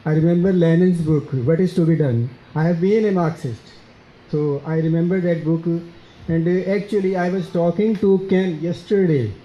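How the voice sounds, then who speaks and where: A man's voice: a little high, warm tone. Pakistani man in an echoey hall. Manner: halting, with many fillers and repeated words. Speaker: conference speaker. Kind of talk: answering audience questions. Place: conference hall. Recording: dull, echoey conference hall.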